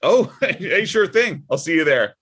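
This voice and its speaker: A man playing a prim, pious older dad, high-pitched. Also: sing-song, unhurried, a bit bright, slightly rough, full character voice. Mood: breezy. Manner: sanctimonious, sugary jabs. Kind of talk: comedic script table read.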